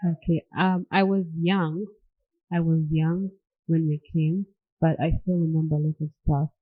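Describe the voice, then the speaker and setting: A young woman from England and Rwanda, a podcast guest chatting in a relaxed way, laughing often and dropping many filler yeahs. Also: low voice, normal speed, slightly rough. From born in England, raised in Rwanda. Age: young adult. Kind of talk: casual interview chat. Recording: tinny phone-like line.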